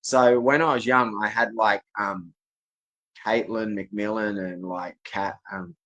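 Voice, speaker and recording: Man, from Australia, low pitch, rambling personal monologue, insistent, repetitive, vlogger addressing viewers. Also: steady tone, takes it slowly, a bit bright, lo-fi sound. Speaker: vlogger speaking to camera.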